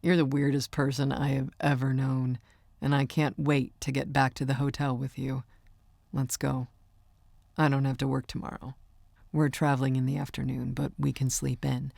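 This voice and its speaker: A woman voicing a young male singer, an amused and tender boyfriend, speaking softly and matter-of-factly in an audiobook. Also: a bass voice, little pitch movement, moderate pace, creaky, dry recording, clean studio sound, voice pushed down, full character voice. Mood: tender, affectionate. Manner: soft, matter-of-fact.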